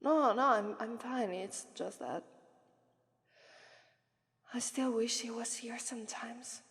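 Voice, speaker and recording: A woman's voice: fairly high pitch, slow, slightly rough, dry recording, clean studio sound, full character voice. A woman playing a shy demon princess bride, Italian accent. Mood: wistful. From Italy. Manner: gentle and soft-spoken, often hushed to a whisper, with nervous hesitant restarts. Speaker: voice actress. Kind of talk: ASMR roleplay.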